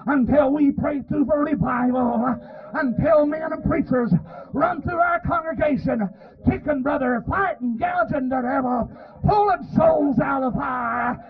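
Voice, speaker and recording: Man, revival preacher, extremely high pitch, raspy strained voice, impassioned pleading, frequent amens, muffled phone-like recording. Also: measured pace, a faint crowd. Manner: impassioned, pleading, breaking into shouts and cries; punctuates phrases with repeated amens. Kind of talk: fervent revival sermon.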